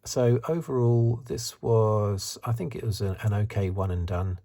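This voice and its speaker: A man giving soft-spoken ASMR commentary in a breathy, husky, partly whispered voice, musing haltingly and offering his opinions close to the microphone. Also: lowish voice. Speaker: host of an ASMR review channel.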